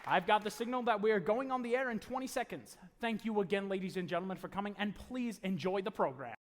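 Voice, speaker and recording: A man's voice: high-pitched, quick pace, some chatter behind, lightly acted. A man acting as a jovial old-time radio show host, announcing in a grand showman style. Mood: showy. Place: theater stage before a live audience. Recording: echoey live hall taping.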